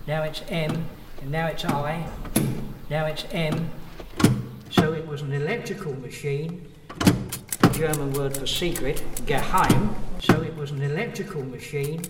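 A man's voice: fairly high pitch, steady tone, measured pace. An older man working as a tour guide, explaining a demonstration step by step. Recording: distant, echoey hall, loud noise.

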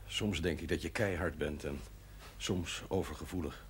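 An actor playing a frank, caring friend in a television drama, with a measured way of talking. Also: male voice, extremely low pitch, a little hiss or hum. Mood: concerned.

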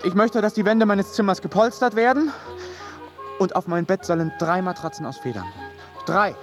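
An actor playing a deluded, fearful man who believes he is made of glass, speaking in halting, theatrical radio drama style with anguished repetitions. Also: high voice, music in the background, natural voice. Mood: fearful, plaintive.

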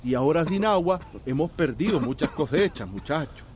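An actor playing a resigned but good-humoured farmer in a radio drama, with a folksy way of talking. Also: male voice, fairly high pitch, animated, moderate pace, darkish tone, slight background noise, natural voice.

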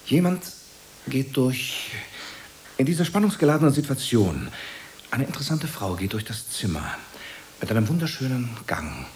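An actor playing a brusque, authoritative theatre director in a German radio play, halting and repeating himself as he gives orders and dismisses. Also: medium pitch, sing-song, darkish tone, a little hiss or hum, lightly acted. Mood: hesitant, dismissive.

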